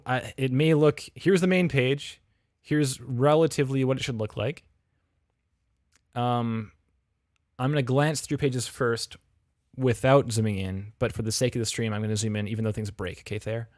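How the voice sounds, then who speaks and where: A man's voice: medium pitch, a little mellow, dry recording, clean studio sound. A man hosting a livestream, chatting casually and giving frank feedback on game audio reels. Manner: chatty, frank, full of restarts and fillers.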